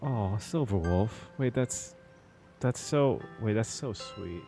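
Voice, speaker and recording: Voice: mid-range voice, animated, takes it slowly, warm tone, faint music behind. A video game streamer giving casual live reaction commentary, gushing and easily moved.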